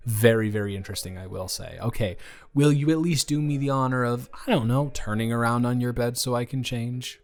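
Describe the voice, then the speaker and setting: A man's voice: medium pitch, brisk, close to an everyday voice. A young man playing a shy, eager, awkward college dormmate in a romantic audio roleplay, talking in a hesitant, stammering ramble. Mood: awkward, bashful. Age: young adult. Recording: music playing behind the voice.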